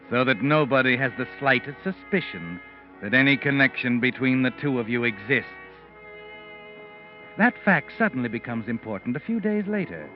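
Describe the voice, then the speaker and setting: A sardonic male mystery narrator voiced by an actor at middling pitch, measured and insinuating. He speaks over soft music on a muffled vintage radio recording. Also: lightly acted. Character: knowing, sardonic mystery narrator addressing the culprit. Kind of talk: 1940s-style radio mystery narration in second person.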